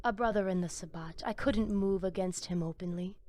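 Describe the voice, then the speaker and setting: A woman's voice: medium pitch, fairly quick, a little mellow, lightly acted. A woman playing a proud, fanatical vampire cultist in a video game, speaking in solemn, cryptic, grandiose phrases. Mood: measured, confiding. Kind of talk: video game character dialogue.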